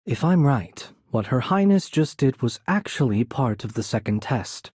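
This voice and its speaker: A man playing a courteous, composed young noble mage in a video game, soft-spoken and slightly breathy, with polite, measured, formal phrasing. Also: mid-range voice, sing-song, dry recording, studio quality. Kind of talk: video game character voice acting.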